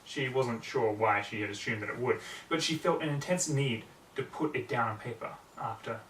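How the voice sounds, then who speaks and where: A man's voice: medium pitch, moderate pace, fairly bright tone. A man reading scripted fiction aloud as an amateur audiobook narrator. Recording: roomy, distant mic, faint background noise.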